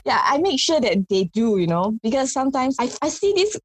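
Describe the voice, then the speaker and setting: A woman's voice: sits high, big pitch swings, fairly quick, husky. A woman instructor in casual conversation, chatty and laughing often, with many "like" fillers. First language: not Korean. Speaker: flower craft instructor. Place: Korea.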